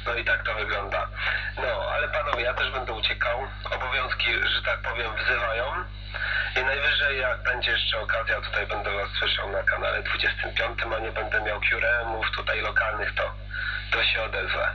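A man's voice: fairly low pitch, fairly even intonation, normal speed. A man chatting casually as a CB radio operator, heard through a radio. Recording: muffled, noisy CB radio reception with hum.

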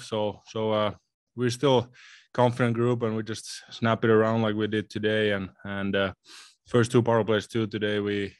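Male athlete, 20s, postgame presser, table mic, dry room. Low, flat, halting delivery. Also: clear, resonant tone, no echo. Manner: halting, many repeated words and fillers. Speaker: hockey player at postgame press conference. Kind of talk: postgame interview answers. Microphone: table mic in front.

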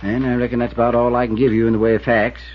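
An actor playing a laconic middle-aged small-town New constable, with a drawl and clipped folksy phrasing, in 1950s radio drama style. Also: male voice, medium pitch, little pitch movement, rapid-fire, close to an everyday voice. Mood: matter-of-fact. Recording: muffled 1950s radio recording.

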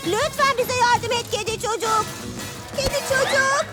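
A woman's voice: very high voice, measured pace. A woman voicing an eager, friendly small child superhero in a dubbed children's cartoon. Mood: pleading. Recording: music behind the voice.

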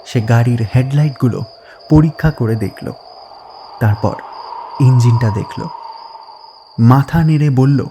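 A man narrating a scripted horror story deliberately, pausing between short phrases. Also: medium pitch, background noise.

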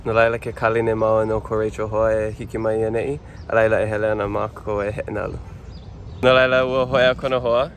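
A man's voice: fairly low pitch, flat delivery, fast, a little hiss or hum. A young man from the Big Island of Hawaii with a Hawaiian accent, chatting casually into a phone held at arm's length outdoors. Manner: easygoing, chatty, laughs between lines. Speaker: vlogger. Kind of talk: casual vlog, switching into Hawaiian. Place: outdoors in a city, by a car park.

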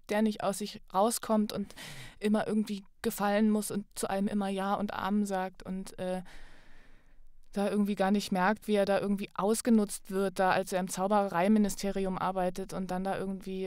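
A young adult woman speaking as a podcast guest in a casual, thoughtful, halting conversational way. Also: medium pitch, level tone, a little mellow, smooth, no room sound, clean studio sound.

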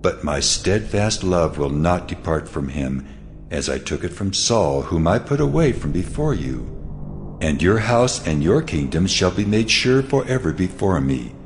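God in a scripture drama: low, commanding, weighty, long echoing tail. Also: male voice, very animated, soft background music, full character voice. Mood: solemn, commanding. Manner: slow, weighty, declarative. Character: God of Israel, solemn, commanding, ancient divine voice. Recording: long reverb, noisy ambient bed.

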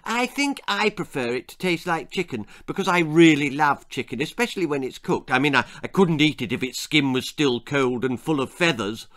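Guy doing a yappy posh little boy, high voice all over the place, never stops talking. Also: expressive, moderate pace, hardly any pauses, fairly bright tone. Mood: eager chatter. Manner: incessant, inane, interrupting. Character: chattering, oblivious young upper-class boy who never stops.

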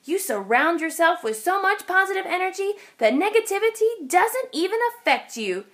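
A woman's voice: extremely high pitch, sing-song, moderate pace, fairly bright tone, pitched above the performer's own voice, exaggerated voice. A woman voicing a kind female bus driver in a storybook, giving a child advice in an instructive, emphatic, encouraging manner. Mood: encouraging, firm.

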